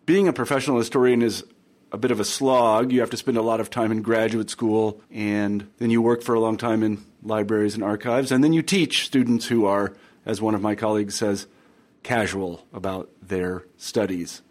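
A man's voice: lowish voice, fairly even intonation, moderate pace, darkish tone. A man hosting a history book podcast, reading an introduction and then chatting with a guest, with some fillers and restarts. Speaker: podcast host. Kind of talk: scripted intro then interview.

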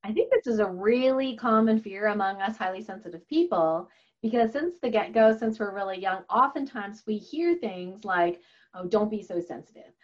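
A woman's voice: medium pitch, lively intonation, moderate pace. A woman whose first language is Chinese, speaking as an interview guest in an earnest, chatty way with frequent laughs and fillers. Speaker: guest, holistic doctor and author. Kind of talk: conversational interview answer. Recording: slightly dull, lo-fi audio.

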